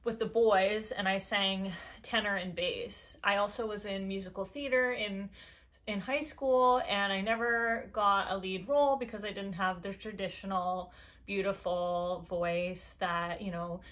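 A young woman with a low voice talks straight to her camera in a cluttered, colorful room. Her tone is earnest and encouraging, and her thoughts wander as she goes. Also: steady tone, unhurried, a bit bright. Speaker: YouTube creator. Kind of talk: casual talk to camera. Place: decorated bedroom indoors.

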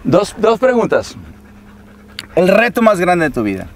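A man's voice: sits high, big pitch swings, measured pace, recorded from a distance, a little hiss or hum. A man in his fifties hosting an outdoor interview, encouraging his guest with short affirmations. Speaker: podcast host. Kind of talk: casual interview conversation. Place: outdoors on a grassy hillside. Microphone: lapel mic.

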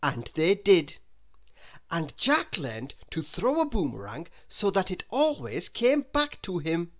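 Woman, children's read-aloud, very slow, hugely varied intonation, deliberate, expressive phrasing. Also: on the low side. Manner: deliberate, expressive storytelling with drawn-out phrase endings. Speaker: storybook reader.

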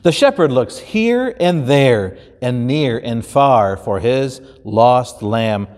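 A man in his fifties with an American accent, a pastor reading a children's story aloud in an expressive, deliberate way. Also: medium pitch. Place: large indoor church stage. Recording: roomy hall with long reverb.

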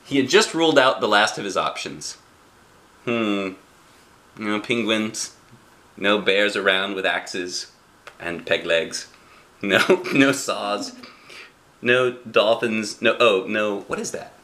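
A middle-aged man reading aloud to a child as a dad would, playful and expressive, with chatty asides and questions. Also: on the low side, slow, pauses often. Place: small living room indoors. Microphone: camera a short distance away.

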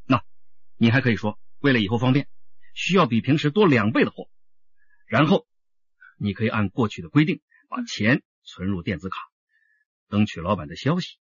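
A man's voice: medium pitch, very animated, normal speed, long pauses, slightly rough, no room sound, dull audio. A man playing a probing, assured police detective in a radio drama, questioning and emphatic. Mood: persuasive, urgent.

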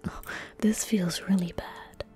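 A woman in her twenties giving gentle ASMR game commentary in a soft, breathy whisper, close to a desktop microphone. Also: mid-range voice, steady tone, faint music behind. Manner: gentle, unhurried, murmuring. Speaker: streamer playing a video game. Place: small indoor room at a desk. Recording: close-miked with faint background noise. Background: faint game ambience.

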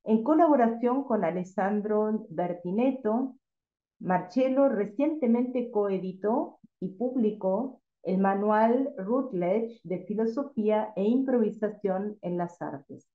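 A woman's voice: mid-range voice, slow pace. A woman hosting a seminar, steadily reading a formal introduction of the speaker over a video call. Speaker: host. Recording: roomy, dull video call audio.

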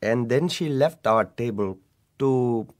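An actor playing a miserable, sheepish young prince in a radio play, wistful in tone. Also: male voice, medium pitch, lively intonation, slow. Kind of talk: 1960s radio drama acting.

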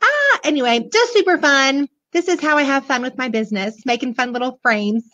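A hoarse woman in her forties, chatting bubbly and gushing to viewers as she shows a children's book on a webcam. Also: sits high, animated, brisk, bright, forceful tone. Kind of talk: casual live book show-and-tell. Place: small room indoors, decorated backdrop. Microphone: webcam at desk. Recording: dull lo-fi webcam audio.

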